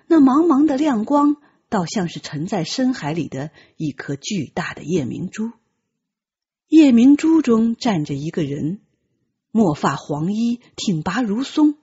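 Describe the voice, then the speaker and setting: A woman narrating a novel as an audiobook, with measured, expressive dramatic storytelling. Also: mid-range voice, breathy edge, dull audio.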